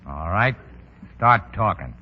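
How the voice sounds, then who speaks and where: A man's voice: lowish voice, animated, takes it slowly, husky, heavily acted. An actor playing a suspicious, hot-headed gang henchman in a 1930s radio drama, blunt and sneering. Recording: dull, hissy 1930s radio broadcast.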